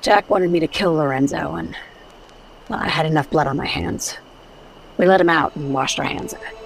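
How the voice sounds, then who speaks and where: A woman's voice: deep voice, normal speed, vocal fry, a little hiss or hum, low-quality recording. An actress playing a blunt, world-weary female adventurer in a radio detective drama. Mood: grim recollection. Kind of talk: old-time radio drama acting.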